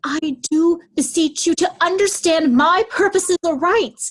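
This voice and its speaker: A woman's voice: extremely high pitch, a little slow. A woman playing a haughty royal daughter in a Shakespearean reading, formal and reproving. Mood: stern, reproving. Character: haughty royal daughter scolding her aged father.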